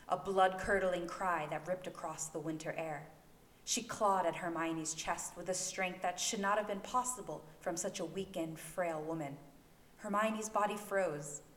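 Woman, slightly low pitch, dramatic story narration, amateur home recording, echoey room, light background noise. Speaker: fan fiction podfic narrator. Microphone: new home recording microphone.

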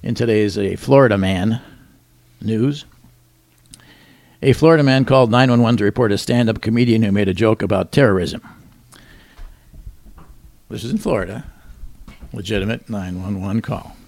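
Middle-aged male co-host, gravelly voice, dry close sound, deadpan joker. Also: lowish voice, moderate pace, long pauses, no room sound. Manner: wry, deadpan punchlines, chuckles at own jokes. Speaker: radio show co-host. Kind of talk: joking news rundown.

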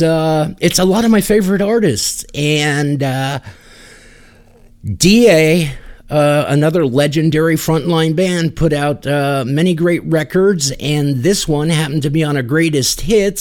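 Higher-pitched man, casual music podcast host. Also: darkish tone. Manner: informal, off-the-cuff. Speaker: podcast host introducing songs. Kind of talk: casual music show commentary.

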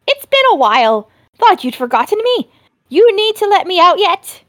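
Casting: woman playing a cheeky imp in a bronze disc, high-pitched and sing-song, wheedling and put-upon. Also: sing-song, normal speed, a bit bright, no echo, studio quality, heavily acted.

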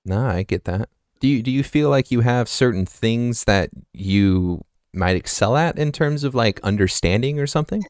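A man's voice: mid-range voice, expressive, moderate pace, darkish tone, dry recording, clean studio sound. A man hosting a casual podcast interview, asking questions hesitantly and apologetically, with stammered restarts. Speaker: podcast host.

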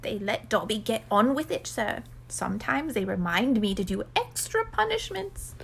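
A woman voicing a small male house elf in a storybook reading, squeaky and high-pitched, earnest, pleading and servile. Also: big pitch swings, moderate pace, creaky, fairly bright tone, a little hiss or hum, full character voice. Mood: anxious, deferential. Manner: earnest, pleading, speaks of self in third person, deferential.

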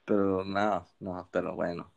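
A young man from Sinaloa with a Sinaloan Mexican accent, co-hosting a podcast and telling stories in relaxed, slangy chat. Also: low voice, a little slow, vocal fry, lo-fi sound. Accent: Sinaloan Mexican Spanish. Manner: relaxed storytelling, frequent slang fillers. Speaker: podcast co-host. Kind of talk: casual chat.

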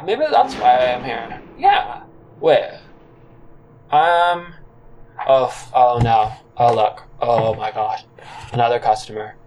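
A man narrates a comic audio drama in the first person. He takes his time, speaking slowly in a medium-pitched voice, with a halting and theatrical delivery. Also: a little mellow, slight background noise, rough recording. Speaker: narrator and counter worker character.

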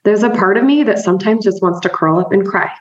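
A woman's voice: medium pitch, fast. A woman therapist and trainer giving an upbeat, conversational explanation as an interview guest, laughing often and checking in with "right?". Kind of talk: casual explanatory interview talk. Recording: roomy, slightly dull remote audio.

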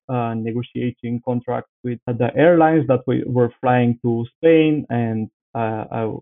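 Bulgarian-accented man on a tinny line. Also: mid-range voice. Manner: earnest, many "uh" pauses, careful phrasing. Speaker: podcast guest. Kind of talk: conversational interview.

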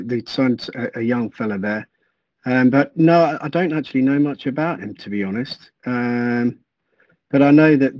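A man's voice: medium pitch, no room sound, lo-fi sound. A man speaking as a podcast guest, hesitant and thoughtful, often stammering over his words. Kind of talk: casual podcast chat.

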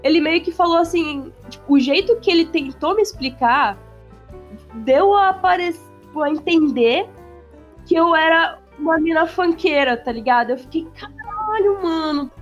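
A woman with a São Paulo accent chatting casually, full of slang and swearing, speeding up when she gets excited. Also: very high voice, moderate pace, lo-fi sound, soft background music. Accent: São Paulo Portuguese. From São Paulo, Brazil. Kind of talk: casual chat.

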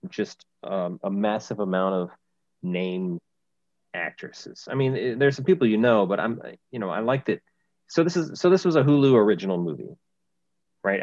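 A man with a lowish voice co-hosts a podcast in loose, casual chat. He rambles, restarting his sentences as he goes. The recording is dry and dull, with a lo-fi sound. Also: a little mellow, dry recording. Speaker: podcast co-host.

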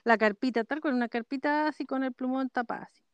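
A woman's voice: sits high, fast, smooth, no room sound, muffled recording. A woman with a Chilean accent co-hosting a podcast, telling rambling stories casually from under a duvet in bed. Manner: rambling storyteller, laughs often. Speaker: podcast co-host. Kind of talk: casual chat. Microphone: headphones with computer.

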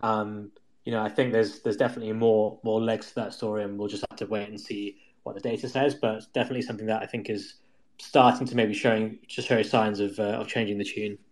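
A man's voice: lowish voice, flat delivery, quick pace, poor audio. A man commenting on markets in a casual, explanatory way, often hedging and feeling his way through points.

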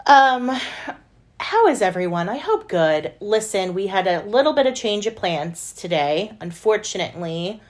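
A woman's voice: mid-range voice, big pitch swings, normal speed. A woman co-hosting a podcast in a casual, playful, exclamatory way. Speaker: podcast co-host. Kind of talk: casual chat.

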